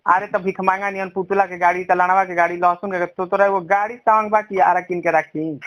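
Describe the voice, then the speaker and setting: A high-voiced man speaks with a Bhojpuri accent in a recorded phone call. He is blunt and scolding, with crude, profane language in a heated personal exchange. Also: little pitch movement, brisk, fairly bright tone.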